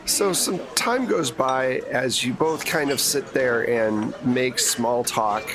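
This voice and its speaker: A man narrating a tabletop roleplaying game as game master, in a measured, conversational way. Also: mid-range voice, over music.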